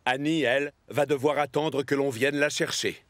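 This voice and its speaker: A man narrating a scripted children's cartoon story as an expressive storyteller. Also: mid-range voice, moderate pace, soft background music.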